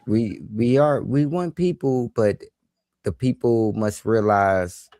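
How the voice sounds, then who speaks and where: A man co-hosting a live podcast, arguing earnestly in a halting way that repeats itself. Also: mid-range voice, mellow. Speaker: podcast co-host.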